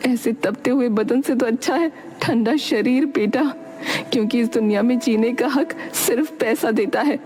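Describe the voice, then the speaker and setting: A woman's voice: fairly high pitch, moderate pace, darkish tone, big-room sound, natural voice. A woman voicing a poor village mother in a cartoon story, bitter, sorrowful and resigned. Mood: bitter despair. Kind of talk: Hindi cartoon story acting.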